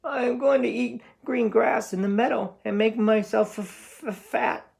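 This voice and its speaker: A man doing a high, trembling little billy goat. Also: fairly even intonation, unhurried, gravelly, darkish tone. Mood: frightened, stammering. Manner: trembling, stammering, pleading. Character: small, timid, frightened young male billy goats, pleading.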